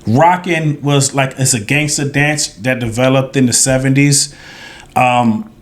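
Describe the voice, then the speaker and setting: A man's voice: medium pitch, steady tone, unhurried. A man in his thirties, a podcast guest, telling a story in a rambling way with many fillers and restarts. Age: 30s. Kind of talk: casual storytelling.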